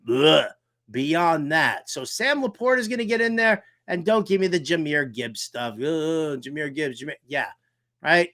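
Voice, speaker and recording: An American man hosting a fantasy football talk show, animated and theatrical, with colloquial, wisecracking delivery. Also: high voice. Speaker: sports talk show host.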